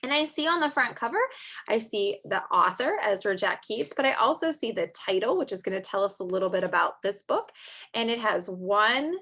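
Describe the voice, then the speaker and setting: A woman in her thirties reading aloud and explaining to young children in an animated, expressive way, like a teacher. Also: mid-range voice, moderate pace. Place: small furnished room at home, indoors.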